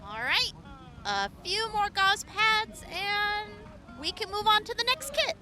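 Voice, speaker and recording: A woman's voice: extremely high pitch, expressive, slow pace, music in the background, full character voice. A woman playing an upbeat young army medic in an audio drama, chatty and giggly, stammering when flustered. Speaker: field medic.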